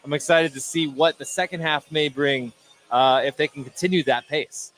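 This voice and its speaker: Male color commentator, fairly high voice, halting, repeats words, off-the-cuff sports analysis. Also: a bit bright, faint music behind, poor audio.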